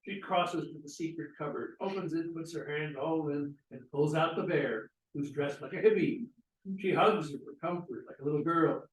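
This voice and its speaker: Casting: man for scripted stage-direction narration, lighter pitch, recorded off-mic in a live room. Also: normal speed. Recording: roomy, echoey, distant microphone.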